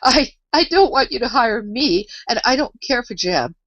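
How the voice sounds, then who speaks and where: A woman's voice: on the high side, animated, normal speed, a bit bright, dry recording, muffled recording, voice pushed up. A woman voicing a polite, puzzled seven-year-old girl, earnest and questioning, in a storybook reading. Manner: earnest, questioning, slightly hesitant. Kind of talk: storybook character voice.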